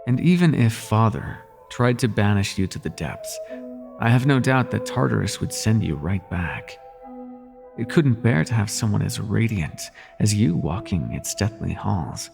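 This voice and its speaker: A suave demon prince acted by a man speaks in a slow, low drawl that swoops expressively. He is courtly and teasing, seductive in the manner of an intimate audio roleplay, with music behind him. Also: very animated, creaky, a little mellow, full character voice. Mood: coaxing. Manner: courtly, teasing, lingering delivery.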